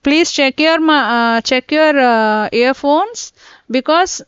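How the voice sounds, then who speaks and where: A woman teaching a live online class, working through each step and often pausing to check that students are following. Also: sits high, no room sound. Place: indoors, teaching from a computer. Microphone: laptop mic. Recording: dull lo-fi online class audio.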